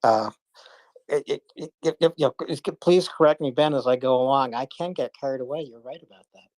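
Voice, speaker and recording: A man's voice: medium pitch, a little fast, darkish tone, no echo. A man hosting a live audio chat room, talking casually with many fillers and restarts.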